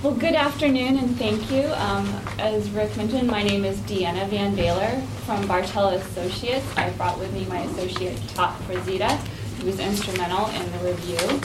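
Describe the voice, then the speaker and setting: Female professional presenter, medium pitch, formal technical delivery, distant mic in an echoey room. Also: fairly even intonation, moderate pace, noisy background. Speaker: actuary, guest presenter. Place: indoor meeting room.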